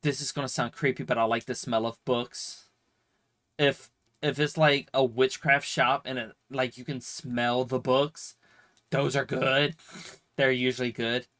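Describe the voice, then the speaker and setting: A man's voice: mid-range voice, flat delivery, a little slow, a bit bright. A man with a slight cold and a stuffy, nasal voice, rambling casually with lots of fillers and swearing as a streamer answering viewer questions. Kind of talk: casual chat.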